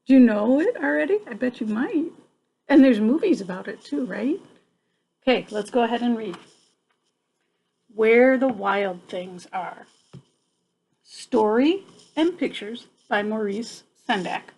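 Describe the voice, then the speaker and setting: A woman's voice: fairly high pitch, animated, measured pace, gravelly, pauses often, darkish tone, breathy edge, poor audio. An older woman reading a picture book aloud to children like a grandmother, adding chatty asides and checking in with her young listeners.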